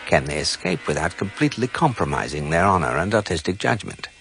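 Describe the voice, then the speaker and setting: A man's voice: low voice, brisk, vocal fry, soft background music, full character voice. A man playing a dry, erudite guidebook narrator in a radio comedy, with an English accent. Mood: mock dramatic. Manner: deadpan, erudite.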